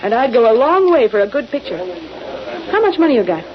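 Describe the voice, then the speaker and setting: A brash, plucky American news photographer in an old radio drama. She rattles off snappy, bossy wisecracks in a fairly high voice on a dull, slightly hissy recording. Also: sing-song, fairly quick. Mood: brisk, bossy. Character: brash, plucky young woman newspaper photographer. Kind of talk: 1930s-40s radio acting.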